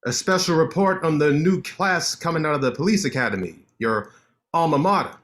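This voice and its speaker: An actor playing a cocky, sharp-tongued male lawyer in a staged play reading, teasing his friend with quick banter. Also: a little high, lively intonation.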